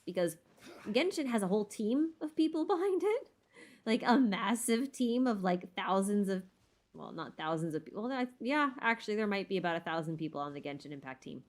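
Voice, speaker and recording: A woman with a voice a little lower than usual talks casually as a streamer taking questions. She thinks aloud and wanders through her answers. Her manner is modest and self-deprecating, with nothing scripted about it. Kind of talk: casual unscripted Q&A chat.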